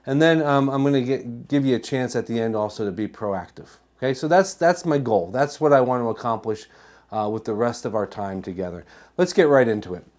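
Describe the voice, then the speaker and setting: American male health educator, medium pitch, emphatic presenter who repeats points and poses questions. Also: animated, brisk, darkish tone. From New Jersey, United States. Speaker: chiropractor giving a health talk.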